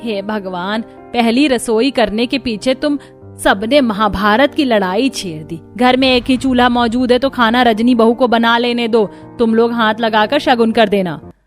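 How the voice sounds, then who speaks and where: A woman's voice: on the high side, unhurried, soft background music, natural voice. A woman voicing a harried, scolding mother-in-law in a cartoon family story, exclaiming and admonishing. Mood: exasperated.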